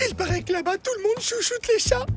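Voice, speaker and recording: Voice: very high-pitched, brisk. A woman voicing a vain, whiny, theatrical young male boar in a cartoon, who fancies himself a sacred cat. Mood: boastful. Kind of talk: animated cartoon dubbing. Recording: music behind the voice.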